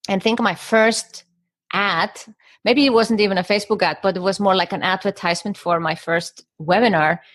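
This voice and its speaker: A woman's voice: medium pitch, fairly even intonation, normal speed, a bit bright, dry recording. A middle-aged woman from Iceland, a guest on a podcast interview, who talks in short stacked phrases with some fillers and restarts. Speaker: guest, business coach.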